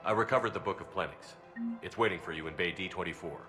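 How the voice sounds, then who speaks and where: An actor playing a composed, commanding military commander in a science fiction game, measured and direct. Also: male voice, low-pitched, over music, close to an everyday voice. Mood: calm, direct. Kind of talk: video game acting.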